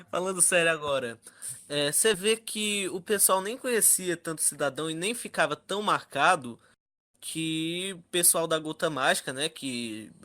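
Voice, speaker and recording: A Brazilian man speaks with a fairly high, very bright voice. He talks casually, as a panelist in a fan discussion. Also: expressive, unhurried.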